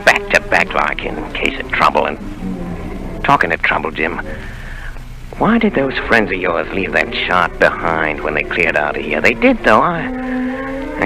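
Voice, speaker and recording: An actor playing a cunning old male pirate leader in a 1930s radio drama, talking rough sailor's slang in a sly, coaxing way. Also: fairly low pitch, full character voice, rough recording. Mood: confiding.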